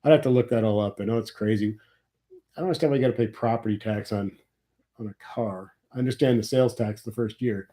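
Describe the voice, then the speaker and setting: Man, middle-aged, from nebraska, sniffly with a cold, rambling, wry, mellow voice. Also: fairly low pitch, fast. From Nebraska, USA. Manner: rambling, wry complaining about taxes. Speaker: livestream host. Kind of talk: casual live chat.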